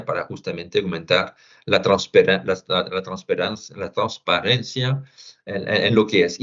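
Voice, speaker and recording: A man with a fairly low voice presents market research at a business conference. He pauses often and restarts his phrases while he searches for words. The recording sounds slightly muffled. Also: a bit bright. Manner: halting, frequent pauses and restarts.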